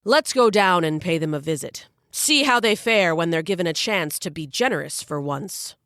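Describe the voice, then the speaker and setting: Woman putting on a rumbling stern god-king. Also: animated, moderate pace, a bit bright, dry recording, studio quality. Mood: angry. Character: booming angry male king of the gods.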